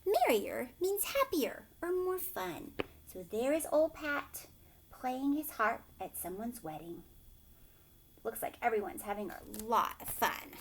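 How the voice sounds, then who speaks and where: A woman teacher reads a children's story aloud, pausing to explain things simply. Her voice is fairly high and very lively in pitch, and she goes at a slow, deliberate pace. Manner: explains words and checks in with young listeners. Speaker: teacher reading aloud to a class.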